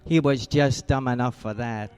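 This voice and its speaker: A man's voice: medium pitch, steady tone, normal speed, a little mellow, clear, resonant tone, faint music behind, natural voice. An actor playing a cold, hard-boiled male private eye in a radio drama, blunt and clipped. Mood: accusing. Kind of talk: 1940s-style radio drama acting.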